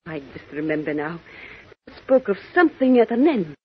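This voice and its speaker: A woman's voice: fairly low pitch, big pitch swings, moderate pace, slight background noise. A woman acting in a theatrical 1940s radio drama as an adventure-serial heroine. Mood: solemn. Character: two women: brisk reporter and solemn archaic princess. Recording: muffled vintage radio recording.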